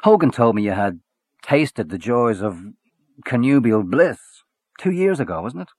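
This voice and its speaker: A man voicing a boastful, worldly man who talks in a loud, hearty, swaggering way. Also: medium pitch, sing-song, a little slow, no echo, studio quality, pitched above the performer's own voice. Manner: expansive, swaggering, back-slapping.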